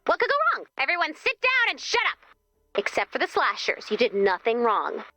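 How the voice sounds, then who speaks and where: An actress playing a peppy, bossy, easily exasperated woman who runs a summer camp, in an animated video game style. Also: on the high side, a little fast, soft background music, heavily acted. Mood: upbeat.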